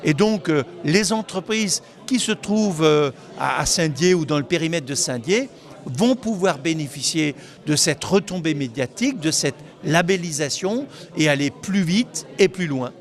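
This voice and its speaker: A man giving explanatory interview answers as a local official, often halting and repeating words mid-phrase. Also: a little high, expressive.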